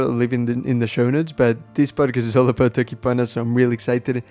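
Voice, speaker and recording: A man whose first language is Spanish, explaining things casually and haltingly as a podcast host, thinking aloud as he goes. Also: medium pitch, darkish tone. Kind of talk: casual unscripted explaining to listeners.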